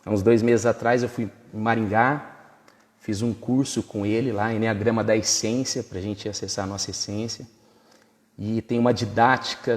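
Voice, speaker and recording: A man hosting a live stream, enthusiastic and a bit halting, with many fillers. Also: fairly low pitch. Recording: long reverb.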